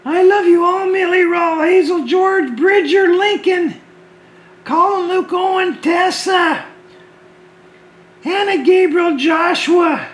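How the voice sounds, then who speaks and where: A man voicing a shrunken, embarrassed little creature with a high, squeaky voice, talking fast and nearly shouting to children. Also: roomy sound, full character voice. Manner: excited, fast, near-shouting. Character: shrunken tiny male creature, embarrassed and pleading.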